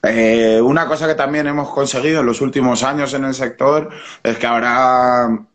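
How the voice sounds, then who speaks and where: A man's voice: mid-range voice, fairly even intonation, moderate pace. A man in his thirties with a Spanish accent, a brewery founder talking as a guest over a video call from a small room at home. He speaks casually, in a rambling way with many restarts. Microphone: webcam or laptop mic on a video call.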